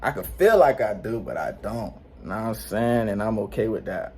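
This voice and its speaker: A man's voice: on the low side, expressive, normal speed, darkish tone, breathy edge. An eighteen-year-old man talking to camera at home in a slangy, rambling, laid-back way, often checking in with the viewers. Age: late teens. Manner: slangy, rambling, laid-back, frequent "you know what I'm saying". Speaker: vlogger. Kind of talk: unscripted casual vlog talk. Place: indoors at home.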